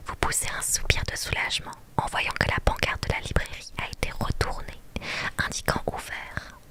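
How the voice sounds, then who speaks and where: A woman streamer whispering softly and breathily as she reads a story game aloud in ASMR style. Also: normal speed.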